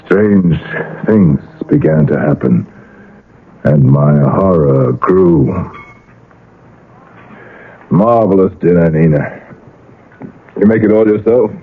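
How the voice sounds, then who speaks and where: A man's voice: deep voice, lively intonation, takes it slowly, long pauses, narrow, tinny audio, full character voice. An actor playing a possessive, agitated middle-aged father, emphatic and dramatic, in a 1970s radio drama. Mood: guarded. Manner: emphatic, dramatic, repeats phrases for weight. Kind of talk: 1970s radio drama acting and first-person narration. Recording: noisy, band-limited old radio recording.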